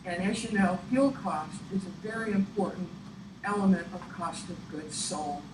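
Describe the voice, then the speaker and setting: A woman presenter giving an instructional presentation in a deliberate, explanatory way. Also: on the low side. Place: echoey room, picked up from afar. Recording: echoey, distant room recording with clear noise. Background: clear room noise.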